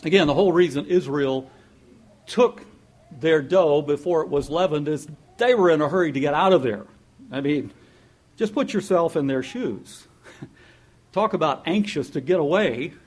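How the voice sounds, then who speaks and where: A man preaching a sermon in a lively, conversational way, often laughing and acting out what people might say. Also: fairly high pitch, normal speed, pauses often. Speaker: pastor.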